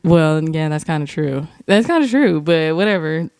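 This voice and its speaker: A young woman hosting a podcast, telling stories in an animated, laughing, profane way. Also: sits low, normal speed, clean tone, no echo, studio quality. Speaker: podcast host. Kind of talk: casual chat.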